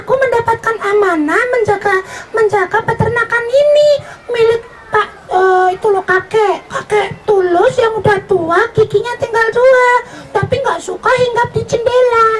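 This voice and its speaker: A storyteller voicing a pious, stubborn, innocent little girl in a live children's story, with exclamations and drawn-out words. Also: extremely high pitch, voice pushed up. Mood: firm, upset. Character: pious, honest little girl minding a poultry farm.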